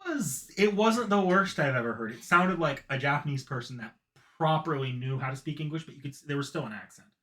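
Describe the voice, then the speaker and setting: A man's voice: a little high, lively intonation, brisk, sharp tone. A man co-hosting a casual anime discussion, hesitant and often restarting his phrases. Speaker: podcast co-host.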